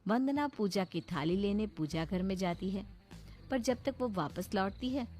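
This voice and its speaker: A woman's voice: lowish voice, a little mellow. A woman doing scripted narration for a moral story cartoon.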